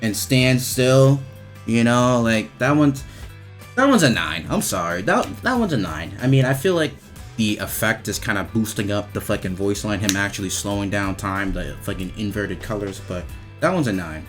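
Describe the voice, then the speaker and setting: A man giving casual, slangy, profane commentary as he rates video game voice lines, with an animated, emphatic delivery. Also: on the low side, normal speed, faint music behind.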